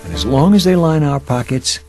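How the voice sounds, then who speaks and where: An actor playing a bold, gruff and resolute mercenary commander in a game cutscene, with a commanding manner. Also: male voice, fairly high pitch, very animated, brisk, over music. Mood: steady. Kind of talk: scripted game cutscene acting.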